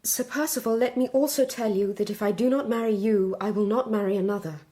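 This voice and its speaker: An actress playing a resolute, unhappy young Victorian bride-to-be with an English accent, speaking formally and gravely in a period audio drama. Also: female voice, medium pitch, fairly even intonation, a little fast, warm tone, lightly acted. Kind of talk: period radio drama acting.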